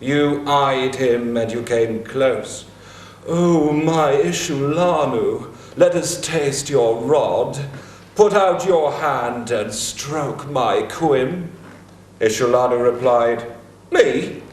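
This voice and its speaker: A man voicing a heroic warrior-king who speaks with slow, weighty scorn, in a theatrical dramatic reading. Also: medium pitch. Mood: scornful. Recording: echoey room.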